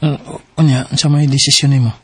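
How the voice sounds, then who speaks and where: A man's voice: mid-range voice, flat delivery, quick pace, breathy edge, dry recording, lightly acted. An actor playing an earnest young man courting a woman in a radio drama, speaking sincerely and conversationally.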